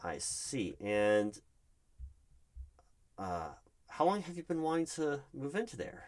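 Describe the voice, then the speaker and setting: A man's voice: mid-range voice, big pitch swings, slow pace. A man playing a nervous, polite recruiting manager in a one-sided ASMR job interview roleplay, speaking hesitantly with awkward professionalism. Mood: uneasy, flustered. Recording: clear background noise with keyboard typing. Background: loud keyboard typing and clicks.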